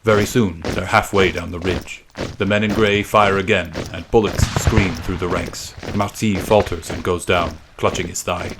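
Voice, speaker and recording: A man narrating a story from a script as a podcast reader, in a measured, suspenseful, dramatic style. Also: sits low, fairly even intonation, noisy background.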